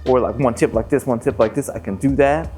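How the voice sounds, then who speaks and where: A man with a medium-pitched voice explains his handiwork in a loose, slangy way with some joking. There is faint music in the background. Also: fairly quick, a little mellow. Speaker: exhaust fabricator presenting his work. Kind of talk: casual vlog chat. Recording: music behind the voice.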